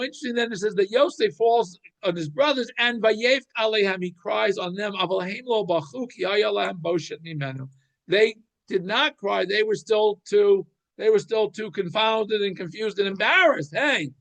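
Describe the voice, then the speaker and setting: Man, very high voice for a male, Torah teacher, informal explanatory lesson, storytelling delivery, thinking aloud. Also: moderate pace, a bit bright. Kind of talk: informal religious shiur, explaining scripture.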